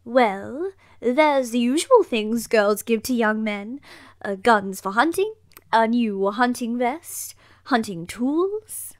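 A woman playing a practical castle servant in an audio drama, pondering deliberately as she talks. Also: on the high side, lively intonation, dry recording, clean studio sound. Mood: thoughtful.

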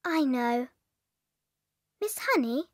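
Polite, precise English schoolgirl, high-pitched and earnest, for children's audio drama. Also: lively intonation, slow pace, dry recording, clean studio sound, exaggerated voice. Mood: earnest, anxious.

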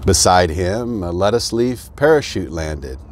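A middle-aged American man reading a children's rhyming storybook aloud in an expressive storytelling manner. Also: sits low, a little slow, slight background noise. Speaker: fire chief, guest reader. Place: outdoors beside an open fire truck.

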